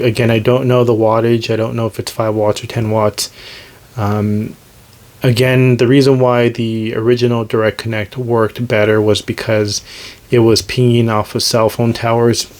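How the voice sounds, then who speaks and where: A man giving a casual, hands-on gadget demonstration as host, talking in a hesitant, unscripted, self-deprecating way. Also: medium pitch. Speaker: host demonstrating radio gear.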